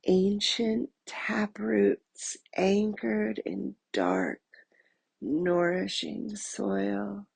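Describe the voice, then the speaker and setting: A woman softly guiding a meditation in a soothing, breathy, husky voice, leaving long pauses between phrases. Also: medium pitch, expressive, no echo. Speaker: meditation guide. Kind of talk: guided meditation narration.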